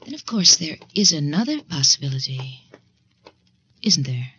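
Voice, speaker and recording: Icy, low-voiced secretary, sharp-toned. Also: female voice, big pitch swings, moderate pace, slight background noise, full character voice. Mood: insinuating, menacing. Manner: arch, pointed, over-polite. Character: icy, insinuating woman secretary with veiled menace. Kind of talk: 1940s radio acting. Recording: dull, band-limited 1940s radio recording.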